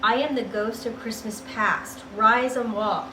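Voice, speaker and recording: A woman voicing a solemn, ageless spirit of Christmas past, speaking in a measured, commanding way. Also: on the high side, steady tone, close to an everyday voice. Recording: roomy, distant mic, noisy background.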